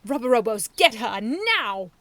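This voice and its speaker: A woman's voice: lively intonation, moderate pace, dry recording. A woman voicing a bratty, scheming boy villain who snaps out orders. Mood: snapping. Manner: snappy and shrill.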